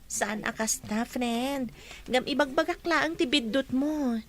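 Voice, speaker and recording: A kind teenage schoolgirl with an Ilocano accent in a radio drama. Her voice is high and light. She coaxes and gently chides her friend. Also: slow pace. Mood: gentle reproof. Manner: gently reproving, coaxing.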